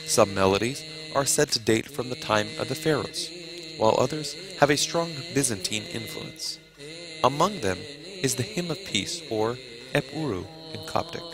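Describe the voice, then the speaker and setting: A man reading a scripted documentary narration in a calm, deliberate way. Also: medium pitch, low-quality recording. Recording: music clearly under the voice.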